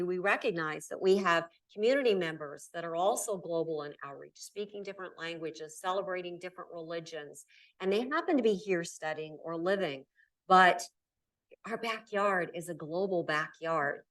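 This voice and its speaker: A woman's voice: medium pitch, a bit bright. A middle-aged woman from Nebraska giving an emphatic conference talk in a lecture hall, stressing key words in repeated lists. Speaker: keynote speaker. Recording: voice from far off the mic.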